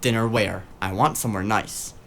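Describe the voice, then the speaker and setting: An actor playing a cheeky, eager, tech-savvy sidekick in a noir audio drama, with breezy, casual banter. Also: male voice, fairly low pitch, normal speed, a bit bright, slight background noise. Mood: teasing.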